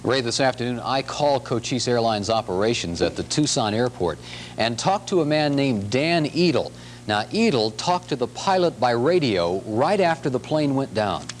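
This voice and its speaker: A man's voice: mid-range voice, lively intonation, moderate pace, slight background noise. An American man delivering a local news report on 1970s television. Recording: 1970s TV broadcast tape.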